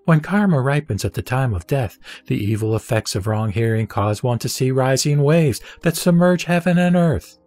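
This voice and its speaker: A man reciting a religious text as solemn, dramatic scripted narration, with measured, deliberate and emphatic phrasing. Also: medium pitch, expressive, a little mellow, no echo. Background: background music.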